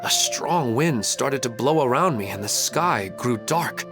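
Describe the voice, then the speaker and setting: A man's voice: medium pitch, lively intonation, unhurried, over music, lightly acted. A man playing a mild, apologetic young man in a video game, hesitant and polite. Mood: bewildered. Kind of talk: video game character dialogue.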